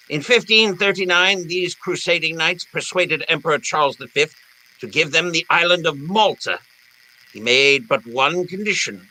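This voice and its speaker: Pompous, swooping, genteel crime boss. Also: male voice, on the high side, bright, forceful tone, a little hiss or hum, exaggerated voice, low-quality recording. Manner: florid, formal, elaborately courteous. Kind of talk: old-time radio drama acting.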